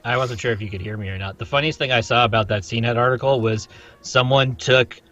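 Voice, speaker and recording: A man's voice: mid-range voice, steady tone, fast, bright, forceful tone. A man in casual chat, speaking over a lo-fi online connection. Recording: dull lo-fi online call sound.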